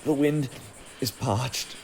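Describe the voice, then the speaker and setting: A man playing a grave, wary water creature in a fantasy audio drama, speaking formally and deliberately. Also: mid-range voice, vocal fry, a little mellow, a little hiss or hum. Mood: grave, despairing. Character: grave, suspicious male Zora of a parched river.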